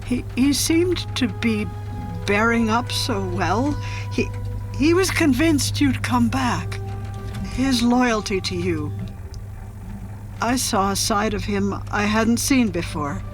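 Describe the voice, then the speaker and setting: Slow, halting, guilt-ridden former nun. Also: female voice, fairly high pitch, animated, faint music behind. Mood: remorseful. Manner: halting, solemn, dramatic. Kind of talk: cinematic radio drama acting.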